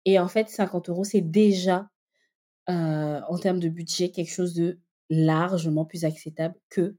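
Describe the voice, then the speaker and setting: Mellow, low-voiced woman explaining at length. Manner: explanatory, thinks aloud with many hesitations. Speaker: guest, founder of a community for entrepreneur mothers. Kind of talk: casual interview conversation.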